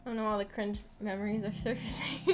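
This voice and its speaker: A woman's voice: mid-range voice, a little fast, background noise. A woman streamer chatting casually and telling personal anecdotes in a giggly, self-deprecating way. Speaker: streamer hosting a visual novel book club. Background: background music.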